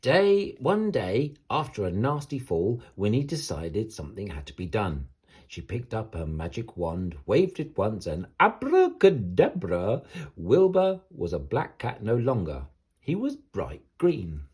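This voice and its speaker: Male storybook reader, low husky voice, lively swings in intonation, theatrical bedtime delivery for children. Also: unhurried. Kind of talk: expressive bedtime story narration.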